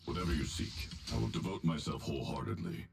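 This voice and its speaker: A man voicing a solemn, devoted warrior in game dialogue, with a gravelly voice and grave manner. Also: a bass voice, animated, quick pace, a little mellow, faint music behind, heavily acted.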